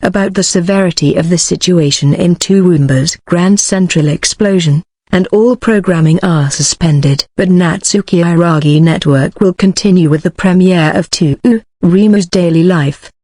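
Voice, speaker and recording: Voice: mid-range voice, moderate pace, few pauses, darkish tone, dry recording. A news announcer reading scripted emergency bulletins as a narration.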